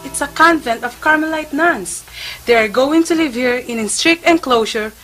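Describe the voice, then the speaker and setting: A woman's voice: high voice, normal speed, fairly bright tone, faint music behind. A woman playing an earnest woman of an old Spanish convent town in an amateur stage play. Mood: explanatory. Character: earnest townswoman or young nun in old Spain.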